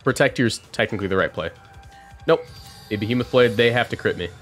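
A man in his twenties giving casual live game commentary, chatting and thinking out loud as he plays. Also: fairly low pitch, quick pace, soft background music. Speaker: streamer.

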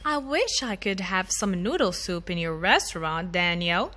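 A woman voicing a gentle, patient mother tiger in a children's storybook, explaining things reassuringly to a child. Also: lowish voice, animated, a little slow, slight background noise, voice pushed down.

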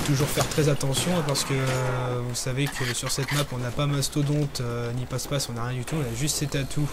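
A young man hosting a gaming channel, talking through a zombie game in casual commentary, hesitant with lots of pauses and fillers. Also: mid-range voice, flat delivery, very noisy. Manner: hesitant, many pauses and fillers, talks while playing.

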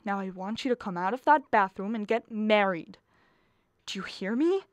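A woman's voice: mid-range voice, expressive, normal speed, no room sound, natural voice. A woman playing a nervous, harassed middle-aged mother of the bride in a radio play, pleading and exasperated.

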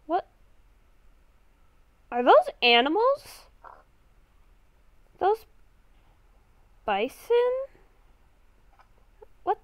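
A woman's voice: a little high, clear, resonant tone, no echo, pitched below the performer's own voice, exaggerated voice. A young woman improvising a Minecraft roleplay as a confused, lost young woman, hesitant and thinking aloud. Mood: bewildered. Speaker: gaming video creator.

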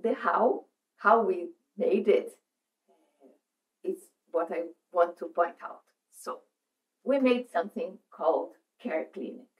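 Slow, halting Brazilian woman presenter. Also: mid-range voice, dull audio. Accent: Brazilian Portuguese. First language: Portuguese. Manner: halting, searching for words, many restarts. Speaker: psychoanalyst speaker. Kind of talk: presentation at a seminar. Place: meeting room, seated at a table.

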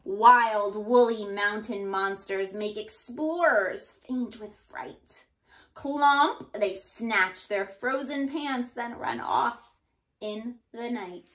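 A woman in her thirties giving an expressive storybook read-aloud for young children, like a teacher addressing her class. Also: on the high side, takes it slowly, low-quality recording. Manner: animated, addressing young children, sounding out rhymes. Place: small indoor room.

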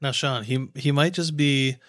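A man's voice: mid-range voice, fairly even intonation, unhurried, a bit bright, no room sound. Easygoing man bantering, close dry sound. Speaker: podcast co-host. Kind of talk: casual banter.

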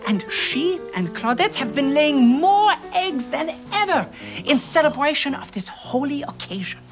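A traveling friar troubadour for a children's show. His voice is high and very animated, with theatrical, expressive phrasing. He is gentle and excitable, with music playing underneath. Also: unhurried, heavily acted. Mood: confiding. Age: 30s. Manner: expressive storytelling, sprinkled with French. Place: studio set.

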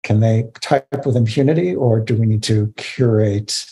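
Middle-aged male interview host, lowish pitch, articulate and conversational on a video call. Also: moderate pace, vocal fry, mellow.

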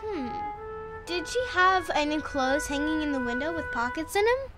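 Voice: high voice, expressive, moderate pace, over music. A performer playing a curious, innocent, literal-minded little boy in a family audio drama, asking eager, naive questions.

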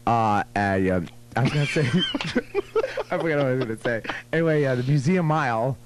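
A man's voice: mid-range voice, huge pitch swings, moderate pace. A man bantering casually and playfully as a radio host. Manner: playful, teasing. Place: radio studio. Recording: 1980s radio aircheck with music behind. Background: music.

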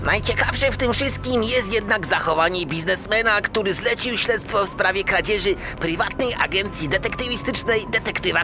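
A man's voice: high-pitched, vocal fry. A man playing a peppy rabbit newsreader giving a showy radio bulletin in a children's audio drama.

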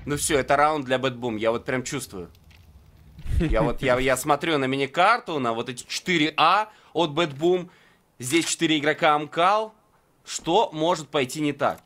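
A man's voice: a little high, moderate pace, fairly bright tone. A man commentating live on a Counter-Strike esports match in a conversational play-by-play style.

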